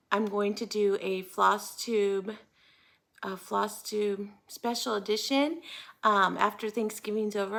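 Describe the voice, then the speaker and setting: A woman's voice: medium pitch, vocal fry, fairly bright tone. An American woman chatting casually to viewers as host of a hobby vlog, in a friendly, talkative manner. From United States. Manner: chatty, friendly greetings and asides. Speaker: host of a cross-stitch video channel.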